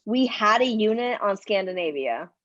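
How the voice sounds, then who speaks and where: A woman playing a studious, proud, impatient fourteen-year-old girl, brisk and assertive, in a staged play reading. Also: mid-range voice, animated, fairly bright tone, lightly acted. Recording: dull lo-fi recording.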